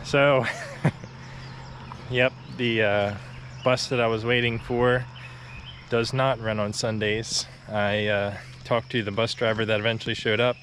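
A man's voice: mid-range voice, slow, slight background noise. Young man vlogging while walking uphill. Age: young adult. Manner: relaxed and chatty, with many fillers and short laughs. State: slightly out of breath from walking uphill. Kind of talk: casual walking vlog. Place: outdoors on a quiet rural road, walking. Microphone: phone or action camera held at arm's length.

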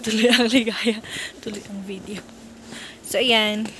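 A woman in her forties from the Philippine highlands, chatting casually in a walking vlog on a foggy mountain road, her phone held at arm's length. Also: fairly even intonation, a little slow, crowd noise. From Benguet, Philippines. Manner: chatty, mixes English into Tagalog, talks while walking. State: slightly out of breath from walking. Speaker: vlogger. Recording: outdoor phone recording with clear background noise.

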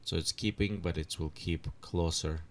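A man with leading a software tutorial at his computer, thinking aloud as he adjusts settings. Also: sits low, fairly even intonation, slight background noise. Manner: unhurried, thinks aloud while clicking through settings. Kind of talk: screen-recorded software tutorial.

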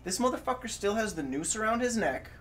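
A man's voice: high-pitched, very animated, a little fast, fairly bright tone. A man in his twenties telling stories in a casual, laid-back, profane way as a podcast co-host. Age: 20s. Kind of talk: casual storytelling chat. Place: furnished home room, indoors. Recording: faint room noise.

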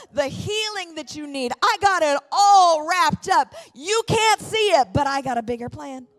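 A middle-aged woman pastor preaching a sermon with personal stories, speaking emphatically into a handheld microphone on a church stage. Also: sits high. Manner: emphatic and fervent, with repeated phrases. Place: large church auditorium, on stage.

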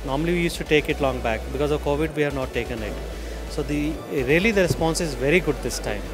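A man's voice: on the high side, racing, over music. Emphatic man at expo. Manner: emphatic, says "see" often. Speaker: exhibitor. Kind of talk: casual interview answers. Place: indoor expo stall. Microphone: handheld mic.

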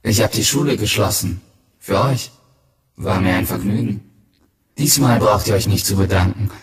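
A grandiose secret hero in a radio play. His lowish male voice is self-assured, conspiratorial and commanding. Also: normal speed, breathy edge. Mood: smug. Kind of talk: radio drama acting.

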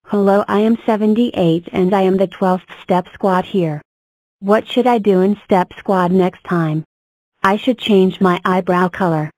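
A woman plays an earnest female number block from a children's counting cartoon. Her delivery is stiff and formulaic, at a middling pitch, in a dry, close recording. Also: fairly even intonation, a little slow, a little mellow, no room sound, close to an everyday voice, low-quality recording.

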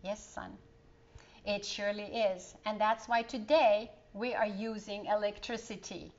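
Storybook mill man, read by a woman: patient, hearty, instructive, slow, slightly lo-fi sound. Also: mid-range voice, steady tone, darkish tone, lightly acted. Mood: kindly, explaining. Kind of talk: children's storybook character reading.

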